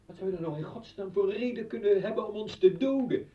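An actor playing an appalled, indignant husband in a radio play, incredulous and agitated. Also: male voice, high-pitched, quick pace, far from the mic, natural voice. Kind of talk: Dutch radio play acting. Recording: echoey, dull, humming old radio recording.

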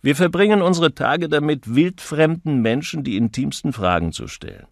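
A man's voice: on the high side, animated, moderate pace, gravelly, no room sound, clean studio sound, pitched above the performer's own voice. A man voicing a cynical, articulate advertising copywriter in an audiobook, sardonic and rhetorical.

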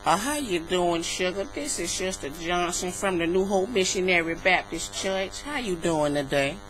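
A woman's voice: fairly low pitch, animated, normal speed, fairly bright tone. A folksy old church lady, acted out by a woman for a prank call, doting and nosy, full of sugary endearments and pious talk. She is caught on a webcam with some hum and hiss behind her. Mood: sweetly pious. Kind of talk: improvised prank phone call. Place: indoor room at home. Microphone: webcam microphone. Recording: noisy, humming webcam recording.

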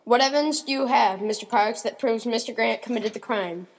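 A woman's voice: on the high side, brisk. A woman playing a stern, commanding courtroom judge in an amateur radio mystery.

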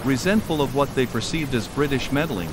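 A man giving a scripted historical narration as a virtual tour guide, in a formal, even manner. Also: mid-range voice, brisk, heavy background noise. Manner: formal, even, guiding the listener around.

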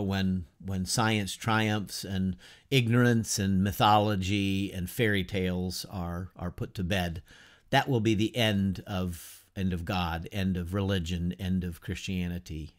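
A man in his fifties giving an informal class lecture from a home study, unhurried and conversational, asking rhetorical questions and using many fillers. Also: low-pitched. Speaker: teacher of an adult church class. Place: home study lined with bookshelves, whiteboard beside him.

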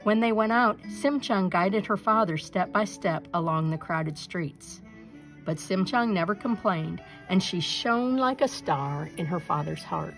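A woman reading a children's folktale aloud in an expressive, deliberate storytelling manner. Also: on the low side, faint music behind, low-quality recording. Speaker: storybook reader. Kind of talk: scripted narration, children's folktale read aloud.

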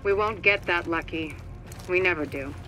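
An actress playing a brisk, determined female agent who gives direct mission orders in a video game. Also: lowish voice, over music, lightly acted. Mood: wry. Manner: businesslike, direct. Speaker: mission coordinator. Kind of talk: video game radio briefing.

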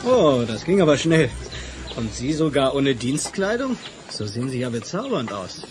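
An actor playing a casual, charming and direct crime-fighting hero in a 1980s radio play. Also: male voice, medium pitch, very animated, moderate pace, noisy background, close to an everyday voice.

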